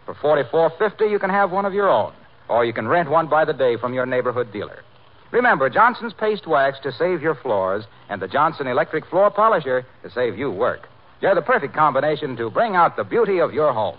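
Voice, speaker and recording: Animated American radio announcer, male, muffled. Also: a little high, brisk. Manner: polished, salesmanlike. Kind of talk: scripted 1940s radio announcing. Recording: muffled 1940s radio recording.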